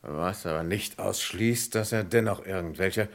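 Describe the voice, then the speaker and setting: Police commissioner in an old radio crime play, lowish voice, sceptical, measured and formal. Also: male voice. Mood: matter-of-fact, hesitant. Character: sceptical, methodical male French police commissioner. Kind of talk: German radio crime drama acting.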